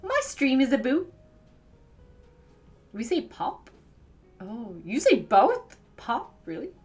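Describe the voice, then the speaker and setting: Chatty Wisconsin woman, lively pitch. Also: soft background music. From United States. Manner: chatty, asks quick questions back. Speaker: live streamer. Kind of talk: casual chat answering viewers.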